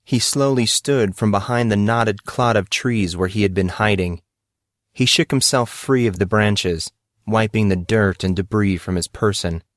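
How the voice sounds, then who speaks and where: A man narrating a scripted audio drama in a measured, dramatic way. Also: on the low side, no echo, studio quality.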